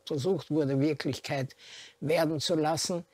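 A woman speaking haltingly as a history expert in an explanatory interview. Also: deep voice, steady tone, dark tone, dry recording.

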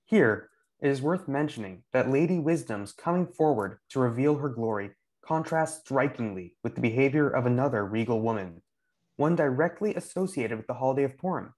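A young adult man gives scripted scholarly commentary. His voice is medium in pitch, and he reads in full explanatory sentences. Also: animated, brisk, a little mellow. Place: indoor room at home. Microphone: video call, wired earphones.

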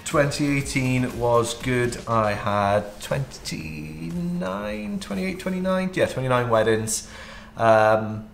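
A Welsh man in his thirties, a wedding videographer, answering interview questions in a relaxed way with frequent ums. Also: medium pitch, slow, creaky, few pauses, echoey room, soft background music. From Wales. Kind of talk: casual interview. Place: home studio office, indoors.

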